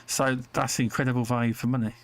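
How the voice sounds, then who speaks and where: British bloke talking games, gravelly normal pitch voice, repeats himself a bit. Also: male voice, level tone, fast. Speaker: gamer on a podcast. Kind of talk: casual group chat about games.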